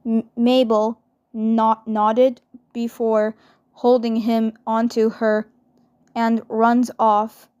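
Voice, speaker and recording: Casting: female amateur role-play narrator, high voice, slow, stumbling, repetitive. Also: level tone, darkish tone, smooth. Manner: stumbles, repeats words.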